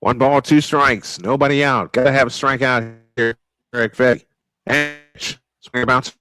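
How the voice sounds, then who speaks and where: A man calling live baseball play-by-play, excitable and prone to repeating his points. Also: medium pitch, clean tone, no room sound, rough recording. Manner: excitable, repeats points, quick calls of action. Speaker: play-by-play announcer. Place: home sports studio.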